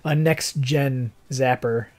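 A young adult man who streams games, chatting casually in a rambling, technical way, with a slightly hoarse voice. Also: medium pitch, steady tone, takes it slowly, a little mellow. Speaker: game streamer. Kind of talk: casual livestream chat. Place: living room, seated in armchair indoors.

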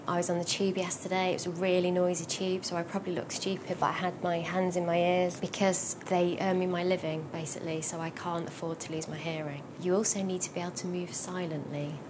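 A woman's voice: little pitch movement, brisk, hardly any pauses. A middle-aged British woman talking informally about her job as a sound engineer in an interview. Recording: noisy with low rumble.

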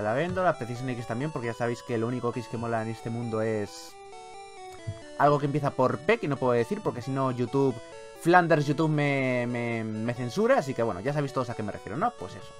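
A man from Spain with a Spanish accent giving casual, expressive, sweary let's-play commentary on a video game. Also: mid-range voice. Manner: expressive, colloquial, swears freely. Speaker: gaming YouTuber, host.

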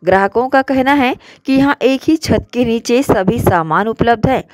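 A woman's voice: on the high side, moderate pace, darkish tone, no room sound, clean studio sound. A woman reporter reading a scripted local news voice-over in a formal, promotional manner.

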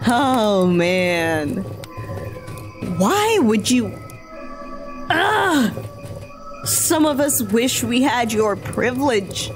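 Casting: excitable female demon, blunt, curious, high voice, unhurried, for audio fiction. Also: over music, exaggerated voice. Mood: indignant. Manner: animated, blunt, casual. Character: excitable, hot-tempered, curious demon woman, bound in slavery. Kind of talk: audio fiction podcast acting.

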